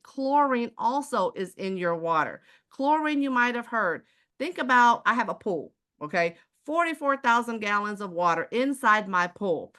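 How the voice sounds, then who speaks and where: A woman from Houston with a Texan accent, giving a persuasive sales presentation full of rhetorical questions. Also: medium pitch. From Houston, Texas. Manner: persuasive, rhetorical questions, repeats "ladies and gentlemen". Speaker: product presenter.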